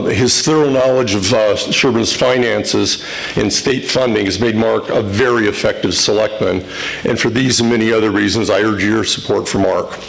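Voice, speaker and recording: A man reading a prepared endorsement at a town meeting, measured with small hesitations. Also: mid-range voice, creaky, poor audio. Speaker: resident giving nominating speech.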